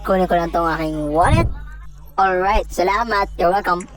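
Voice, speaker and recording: A woman with a Filipino accent voicing playful, excitable animated stick figures in an amateur fan dub, chattering in exclamations and slang. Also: lowish voice, moderate pace, over music, natural voice. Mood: eager, bossy. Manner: exclamatory, Taglish slang, often squealing.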